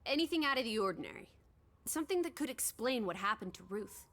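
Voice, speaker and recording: An actress playing a spirited, inquisitive, imaginative young female companion in a video game, expressive, playful and probing. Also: fairly high pitch, fast talker. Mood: teasing. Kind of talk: scripted game dialogue.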